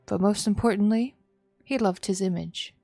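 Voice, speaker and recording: A woman gives a dramatic, expressive narration of a visual novel as a streamer. Her voice is mid-pitched and recorded close and dry, with music playing softly behind it. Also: normal speed, gravelly, no room sound.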